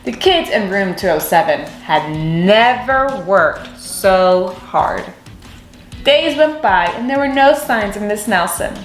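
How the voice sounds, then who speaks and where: A woman reading a children's picture book aloud with expressive storytelling. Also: mid-range voice, slow, roomy sound, soft background music.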